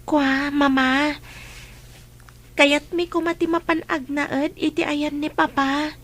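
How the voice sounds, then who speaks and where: A woman's voice: high-pitched, steady tone, takes it slowly, fairly bright tone. A Filipino woman playing a hesitant, respectful young daughter in a radio drama. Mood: nervous, hesitant.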